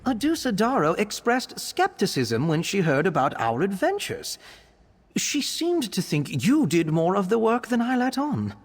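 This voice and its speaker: A bookish young male vampire scholar speaks in a husky voice that rises and falls freely. He is courteous, earnest and precise, with formal wording suited to a fantasy game. A long reverberant tail follows his words. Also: a little high, heavily acted. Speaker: game character, arcane investigator. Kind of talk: scripted game character monologue.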